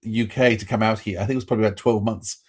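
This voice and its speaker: A man's voice: on the low side, fairly even intonation, rapid-fire, slightly rough. A middle-aged man from Australia, hosting a casual interview and talking with eager, rambling restarts. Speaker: podcast host.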